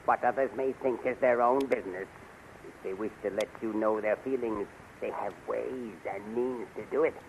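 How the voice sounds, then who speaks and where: An actor playing a scheming older majordomo, formal and obsequious, in a 1930s radio serial. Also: male voice, on the low side, fairly even intonation, moderate pace, close to an everyday voice. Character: scheming, flattering older male courtier and majordomo. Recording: noisy 1930s radio recording.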